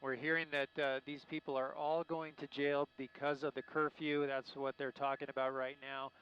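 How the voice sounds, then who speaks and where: A man working as a helicopter news reporter, giving live unscripted commentary in a halting, searching way. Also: mid-range voice, little pitch movement, clean tone. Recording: muffled lo-fi live broadcast with faint noise.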